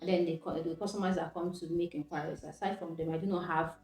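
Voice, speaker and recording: A woman's voice: fairly low pitch, fairly even intonation, fast talker, a little mellow. A young Nigerian woman with an Igbo first language, talking reflectively to camera and often restarting her sentences. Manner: reflective, with frequent restarts and self-corrections. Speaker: vlogger. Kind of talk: casual talking-to-camera vlog. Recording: echoey room with faint background music.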